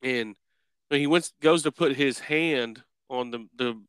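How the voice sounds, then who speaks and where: Bright, clear male podcast interviewer. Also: medium pitch, measured pace, no room sound. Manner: rambling, circles around his question. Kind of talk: casual interview chat.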